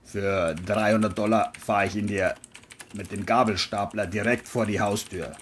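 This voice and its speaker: A narrator voicing a burly middle-aged male carpet dealer in an audio crime drama, gruff, taunting and smug. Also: low-pitched, fairly even intonation, moderate pace, a little hiss or hum, voice pushed down, natural voice. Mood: smug, threatening.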